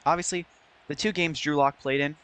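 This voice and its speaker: A man giving casual play-by-play commentary as host of a gaming video, his voice slightly hoarse. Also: a little high, fairly even intonation, brisk, a bit bright.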